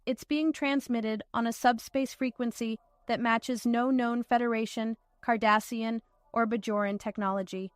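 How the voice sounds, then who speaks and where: A woman's voice: fairly high pitch, fairly even intonation, normal speed, few pauses, clean tone, no echo, natural voice. A woman playing an analytical, dutiful starship science officer in a sci-fi audio drama, speaking precisely and formally. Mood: formal, reporting.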